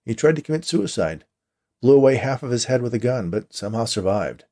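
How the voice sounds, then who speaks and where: A man's voice: medium pitch, lively intonation, brisk, mellow, natural voice. A man voicing a matter-of-fact veteran watcher who explains the job to a new hire in a story reading. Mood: matter-of-fact explaining.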